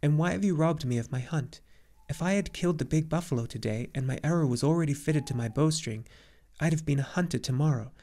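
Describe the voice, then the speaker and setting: A man's voice: mid-range voice, animated, a little slow, hardly any pauses, a little mellow. Man voicing a proud young boy hunter. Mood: angry, reproachful. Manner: terse, direct, solemn. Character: proud, impassive ten-year-old boy hunter.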